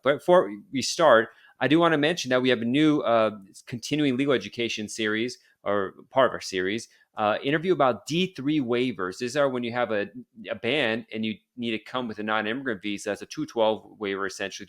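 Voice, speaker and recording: A man's voice: on the low side, fairly bright tone. A male podcast host talking casually, rambling with many fillers and restarts as he promotes courses and interviews a guest.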